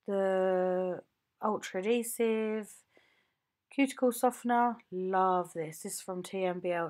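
A woman nail technician from the UK, chatting casually as she shows her home studio on a handheld phone, rambling with lots of ums and likes. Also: medium pitch. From United Kingdom. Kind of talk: casual show-and-tell vlog.

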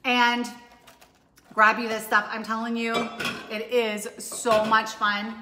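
A woman's voice: fairly high pitch, level tone, slow pace, noisy background. A woman in her forties chatting casually as she demonstrates a drink recipe in her home kitchen, upbeat and rambling with frequent restarts. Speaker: cooking demo host. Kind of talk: casual live cooking demonstration. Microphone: overhead camera from a distance. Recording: echoey kitchen with long reverb.

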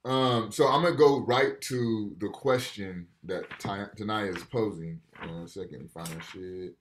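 A man with a fairly low voice gives a relaxed college lecture from home over a webcam. He reads passages aloud, then breaks them down in plain, conversational talk. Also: a little slow, a little hiss or hum. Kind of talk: casual lecture over video call. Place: home room indoors. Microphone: video call webcam.

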